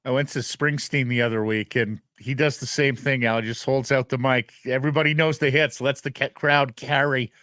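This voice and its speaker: A man's voice: medium pitch, fairly even intonation, moderate pace, few pauses, fairly bright tone, dry recording. Male radio interviewer, dry sound. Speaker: sports talk show host.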